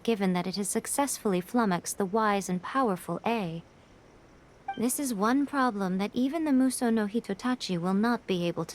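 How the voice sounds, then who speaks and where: An actress playing a poised, worldly woman in a video game, teasing and knowing in a measured way. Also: a little high, a little hiss or hum, natural voice. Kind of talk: video game character dialogue. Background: background music.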